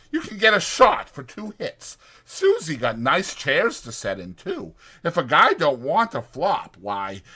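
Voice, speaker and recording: Guy doing a young ranch hand gossip for an audiobook, high voice, super animated, folksy slangy talk. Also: heavily acted. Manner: folksy, slangy, ungrammatical drawl.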